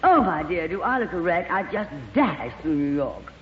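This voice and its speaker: An actress playing a glamorous, worldly, gossipy stage actress in a 1940s radio comedy, theatrical and full of endearments. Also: female voice, fairly low pitch, big pitch swings, normal speed. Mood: gushing. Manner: theatrical, drawls endearments like darling. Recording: old radio broadcast, reverberant, dull, faint hiss.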